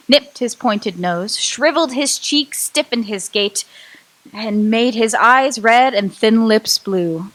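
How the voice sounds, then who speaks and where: A woman narrates a radio drama with vivid, expressive delivery. Her voice sits a little high.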